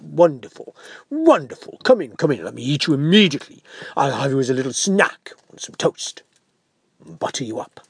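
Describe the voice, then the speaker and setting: A big, slow, greedy ogre from a children's storybook, as a storyteller puts him on. He swings from gleeful squeals down to low, breathy mutters, savouring each word. The voice is close and dry. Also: very animated, dry recording. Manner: gleeful, drawn-out and theatrical.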